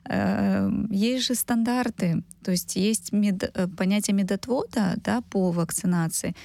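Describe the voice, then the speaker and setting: A woman giving expert interview answers as a medical researcher, speaking emphatically in technical terms with pauses between clauses. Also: medium pitch, vocal fry, darkish tone, no room sound, studio quality.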